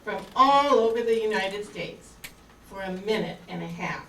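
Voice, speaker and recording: A woman's voice: medium pitch, expressive, moderate pace, a bit bright. A woman narrating a scripted radio serial as its announcer. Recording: distant echoey room, faint noise.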